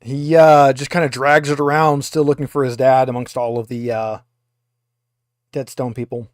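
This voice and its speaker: A man's voice: mid-range voice, flat delivery, normal speed, no room sound, studio quality. A man working as game master, informally narrating and explaining a tabletop roleplaying game.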